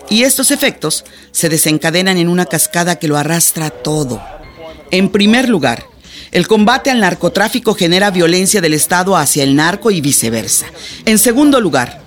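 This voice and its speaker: A man acting in a radio play as an earnest lecturer, delivering a rhetorical monologue with emphatic, deliberate phrasing. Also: fairly high pitch, fairly bright tone. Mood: questioning, insistent. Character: earnest male lecturer arguing against drug prohibition.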